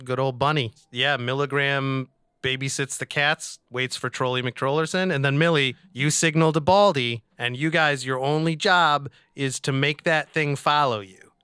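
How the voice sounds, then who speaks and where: A man with a bright, clear, mid-pitched voice plays a tabletop roleplaying game. He talks casually and colloquially with some swearing, recorded dry and close with faint music underneath. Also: steady tone, normal speed, no room sound. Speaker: tabletop podcast player. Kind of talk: casual improvised roleplay chat.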